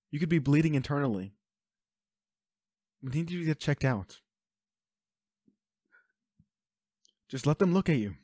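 A man's voice: mid-range voice, a little mellow, no echo, studio quality, lightly acted. A man playing an anguished, protective werewolf boyfriend in an audio roleplay, speaking with urgent, pleading emotion. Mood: worried, insistent. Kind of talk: intimate dramatic roleplay acting.

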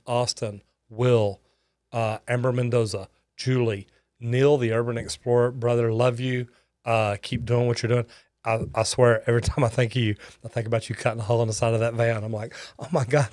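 An older man hosting a casual livestream, folksy and friendly, on a close boom mic in a small studio. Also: darkish tone, no echo. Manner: folksy, thanks viewers by name. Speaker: host. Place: studio with shelves.

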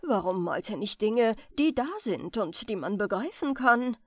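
Storybook critic read by a woman: self-important, disapproving, scolding, with animated pitch. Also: unhurried, dry recording, clean studio sound. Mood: indignant, pompous. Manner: pompous, scolding. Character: smug, know-it-all critics, pompous and disapproving.

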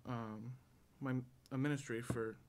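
A young man giving a halting public testimony in church, searching for his words. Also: mid-range voice, steady tone. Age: young adult. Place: church sanctuary at a lectern. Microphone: stand microphone. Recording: noisy church hall recording.

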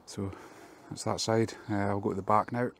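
A man's voice: lowish voice, steady tone, moderate pace, gravelly, over loud music. A man, a fencing contractor, filming himself as he walks through a job and explains it in plain, practical terms with many ums, outdoors in a garden. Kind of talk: casual work vlog walkthrough.

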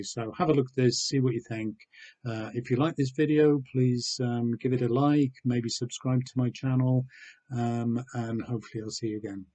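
A man in his sixties from Wales, talking casually and hesitantly in a low-key, understated way as he presents a home vlog. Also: dark tone. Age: 60s. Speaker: online seller and video host. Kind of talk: casual vlog chat, showing items. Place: small room at home with bookshelves.

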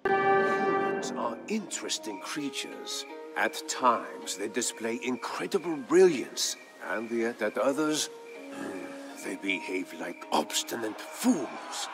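A man playing a thoughtful veteran war chronicler, telling a story for a game in a measured, grave and theatrical way. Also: on the low side, big pitch swings, exaggerated voice. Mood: musing, wry. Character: thoughtful veteran male war chronicler recounting past campaigns. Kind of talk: dramatic scripted storytelling narration for a game. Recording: music behind the voice. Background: background music.

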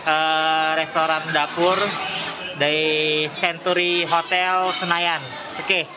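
Male vlogger, casual and inquisitive, higher voice, busy restaurant around him. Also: flat delivery, takes it slowly, crowd noise, noisy background. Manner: chatty, asks questions. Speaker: food vlogger. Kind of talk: casual chat while tasting food. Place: busy hotel restaurant, indoors.

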